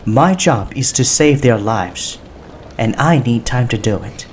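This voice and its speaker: A man voicing a firm, commanding female Prime Minister in an audiobook, speaking with measured authority. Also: mid-range voice, expressive, slight background noise, pitched above the performer's own voice, natural voice. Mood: cold, stern. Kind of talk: audiobook character dialogue.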